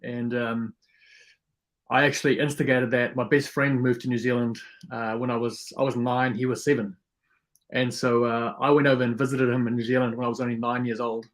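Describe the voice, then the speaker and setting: A man from South Africa who grew up speaking Afrikaans chats as a podcast guest. His voice sits at an ordinary middle pitch. He talks in a relaxed, friendly way and fills pauses with ums and uhs. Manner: relaxed and friendly, many fillers. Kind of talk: casual interview chat.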